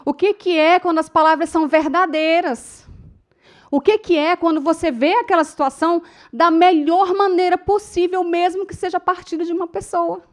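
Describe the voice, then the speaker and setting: Brief: Brazilian woman, middle-aged, high voice, public speaking teacher, emotive storyteller who talks straight to the room. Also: fairly even intonation, moderate pace. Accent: Brazilian Portuguese. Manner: emotive storytelling, addresses the audience as "gente". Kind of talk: lecture to a class.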